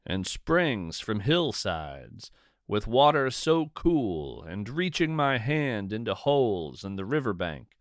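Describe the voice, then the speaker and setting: A man reads literary narration in a measured, deliberate way. His pace is slow, and his pitch moves with lively expression. The recording is dry, with very little room sound around the voice. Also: no room sound. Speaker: podcast host and narrator. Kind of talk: scripted narration, poetry reading and storytelling.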